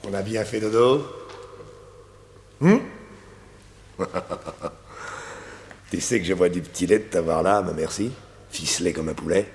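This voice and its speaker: Gravelly, sneering gang boss. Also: male voice, lowish voice, a little hiss or hum. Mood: gloating, mocking. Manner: sneering, drawling mock politeness. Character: sadistic, vengeful male gang boss, mocking. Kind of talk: French detective radio serial acting.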